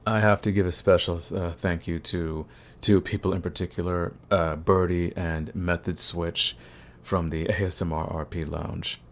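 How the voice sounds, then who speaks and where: A man's voice: low voice, level tone, moderate pace, fairly bright tone. A man hosting his audio channel, giving a casual, rambling update to his listeners. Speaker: audio roleplay creator, channel host.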